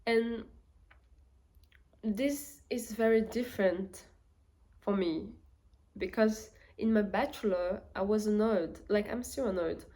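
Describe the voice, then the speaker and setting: A woman's voice: mid-range voice, slow pace, long pauses, mellow. A young woman with a Portuguese accent, talking casually to camera as a vlogger, thinking aloud with fillers and restarts. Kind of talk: casual reflective talk to camera.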